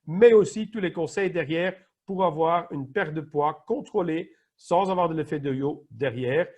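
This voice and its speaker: A middle-aged man with a Flemish accent gives an explanatory training talk, now and then hunting for the right word. His voice sits a little high and has a husky, breathy edge. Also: darkish tone. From Flanders, Belgium. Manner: explanatory, searches for words at times. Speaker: emergency nurse and wellness trainer. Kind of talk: online training presentation.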